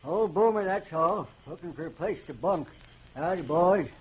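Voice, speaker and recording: A genial old American railroad tramp, with a fairly high voice. He rambles along in folksy, homespun talk. The vintage radio recording has a light layer of noise. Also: animated, moderate pace, a little mellow, highs cut off. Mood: genial, humble. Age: older. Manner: rambling, folksy, colloquial drawl of speech. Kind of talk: 1940s radio acting.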